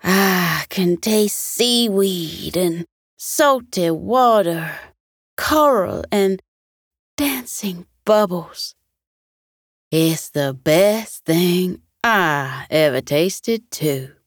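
Woman as male animal diner, polite, hesitant, bedtime story, very slow, expressive pitch. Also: dry recording, clean studio sound, exaggerated voice. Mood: amazed.

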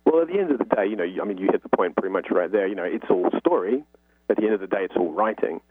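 A man's voice: low-pitched, expressive, racing, breathy edge, dry recording. A man speaking as an interview guest, explaining at length in a talkative, conversational way. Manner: talkative, explanatory, frequent "you know". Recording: thin phone-like line.

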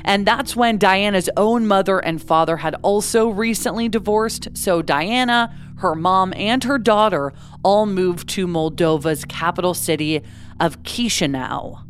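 A woman's voice: medium pitch, a little slow, a little hiss or hum. A woman hosting a true-crime podcast, narrating a case in a conversational way with casual asides. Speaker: podcast host.

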